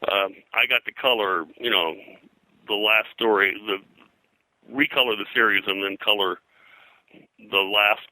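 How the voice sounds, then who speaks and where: A man's voice: fairly low pitch, unhurried, long pauses, sharp tone, dry recording, rough recording. An older American man reminiscing casually as an interview guest, in a rambling, anecdotal way that often halts mid-sentence. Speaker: interview guest, comics colorist and radio historian.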